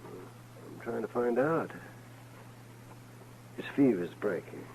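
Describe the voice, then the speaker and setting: A man's voice: fairly low pitch, animated, slow pace, vocal fry, a little mellow, heavily acted. A male actor with an American accent playing a kindly, practical frontier doctor in a radio western, dry and matter-of-fact. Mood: reassuring. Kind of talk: old-time radio western acting. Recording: noisy vintage radio recording with hum.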